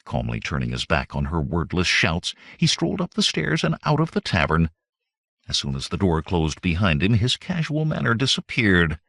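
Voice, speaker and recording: Male narrator, low pitch, highly varied intonation, scripted fiction storytelling, dry close sound. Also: wildly swooping pitch, normal speed, creaky, a bit bright, no echo. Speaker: audiobook narrator.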